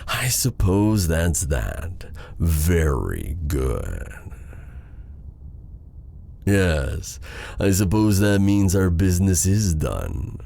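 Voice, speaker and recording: An exiled dark sorcerer in a fantasy ASMR roleplay, with a gravelly, mellow voice. He is a smooth-talking villain, theatrical and teasing, and his delivery swings expressively between menace and flirtation. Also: exaggerated voice. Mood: teasing, menacing. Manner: theatrical, silky, teasing, deliberate delivery with low chuckles.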